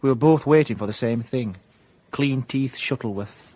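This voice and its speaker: A man's voice: mid-range voice, normal speed, dark tone, dry recording, natural voice. An actor playing a wry amateur private detective with a South Yorkshire accent, narrating his case in radio comedy drama. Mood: wry, rueful.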